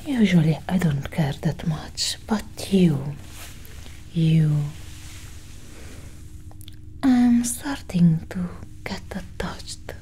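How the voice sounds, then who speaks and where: A woman playing a curious, coolly possessive female alien doctor in a soft, whispered ASMR roleplay, breathy and close to the microphone. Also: fairly low pitch, a little hiss or hum. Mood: intrigued, fond. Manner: slow, deliberate, hushed.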